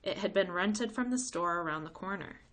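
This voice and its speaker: Casting: relaxed cop dad for a storybook, plainly explaining things to his child, read by a woman. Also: fairly low pitch, brisk, slight background noise, close to an everyday voice. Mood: matter-of-fact. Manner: casual, explanatory. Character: easygoing, matter-of-fact father, a policeman.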